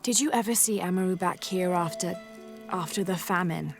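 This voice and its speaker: A woman playing a determined, troubled young female adventurer in a video game cutscene, speaking earnestly and with measured thought. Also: on the low side, creaky. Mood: subdued. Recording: game cutscene with music behind.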